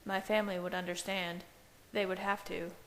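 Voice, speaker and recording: A woman's voice: fairly low pitch, little pitch movement, normal speed, a bit bright, long reverb tail. A woman reading scripted fiction aloud as an amateur audiobook narrator. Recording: hissy home recording.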